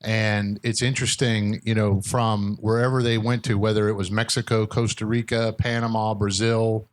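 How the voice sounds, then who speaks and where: A man's voice: fairly low pitch, level tone, moderate pace, dry recording. An American man hosting an interview in a casual, chatty style. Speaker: interviewer, host. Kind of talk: casual chat.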